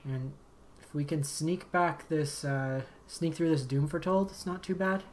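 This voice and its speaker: A man, slightly raspy from a long day of talking, calmly commentating his card game as he plays, thinking aloud and muttering asides. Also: fairly high pitch, unhurried, a little hiss or hum. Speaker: game streamer. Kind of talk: casual gameplay commentary. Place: home streaming setup.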